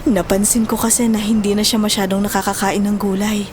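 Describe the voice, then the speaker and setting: A woman playing a caring, protective stepmother in a Filipino audio drama, motherly and gently scolding. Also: medium pitch, flat delivery, fairly quick, slight background noise, lightly acted.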